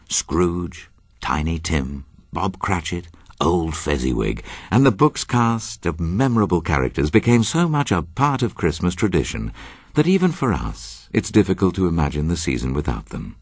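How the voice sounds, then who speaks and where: A man presenting scripted narration in an earnest, measured storytelling manner. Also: sits low, slightly rough, no room sound, studio quality.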